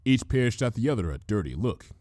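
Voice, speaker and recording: Man narrating a story, lively intonation. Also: on the low side, fairly quick, no room sound, clean studio sound. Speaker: fan fiction reader.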